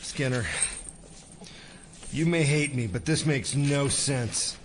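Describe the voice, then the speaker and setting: An actor playing a slippery, sardonic rogue ex-agent, sarcastic and agitated, in an audio drama. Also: male voice, mid-range voice, takes it slowly, noisy background, close to an everyday voice. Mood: wary protest.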